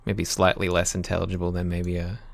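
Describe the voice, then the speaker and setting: A man in his thirties with a mild Australian accent, chatting casually on a live stream from his home music studio, thinking aloud in a relaxed, halting way. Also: low voice, vocal fry. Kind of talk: casual live-stream chat while working.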